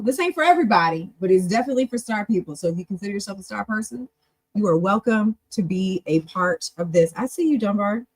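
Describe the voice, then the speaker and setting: Husky-voiced woman hosting a livestream. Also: medium pitch, dry recording, low-quality recording. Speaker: host of an online club stream. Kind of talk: casual livestream talk.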